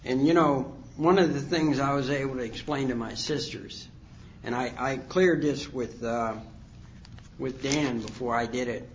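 A man in his sixties telling his recovery story at a conference, rambling and halting with many fillers. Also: medium pitch, dull audio, a little hiss or hum. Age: 60s. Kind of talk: personal testimony talk. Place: conference hall.

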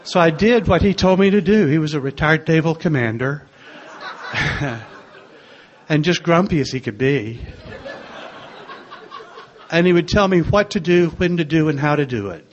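Soft-voiced older man, wry storyteller. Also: on the high side, moderate pace, long pauses, highs cut off, slight background noise. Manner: gentle, wry storytelling with small laughs. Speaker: speaker sharing a recovery story. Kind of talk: personal testimony to a group.

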